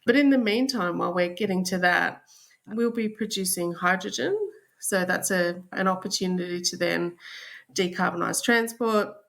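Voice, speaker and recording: A woman's voice: fairly low pitch, moderate pace, vocal fry, fairly bright tone. A woman with an Australian accent, explaining things conversationally as a guest in a podcast interview.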